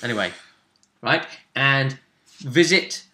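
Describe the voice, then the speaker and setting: A man telling the story of a tabletop role-playing session as a host, in a casual, halting way, acting out bits of dialogue as he goes. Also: medium pitch, animated, bright, forceful tone.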